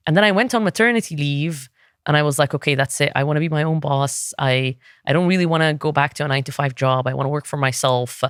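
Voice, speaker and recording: A woman in her thirties from Egypt, a native Arabic speaker, talking as a podcast guest in a thoughtful, relaxed way with frequent restarts. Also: low-pitched, clean tone, dry recording, clean studio sound. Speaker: podcast guest, SEO consultant. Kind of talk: casual interview talk.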